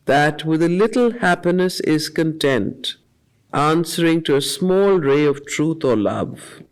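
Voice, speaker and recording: A woman facilitating a study circle, reading poetry in a deliberate, measured way with pauses between short phrases and explaining it as she goes. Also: deep voice, darkish tone.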